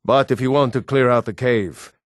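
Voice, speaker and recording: A man voicing an earnest Nord townsman in a fantasy video game, speaking scripted lines in a measured, formal way. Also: medium pitch, dry recording, studio quality, natural voice. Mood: calm, formal.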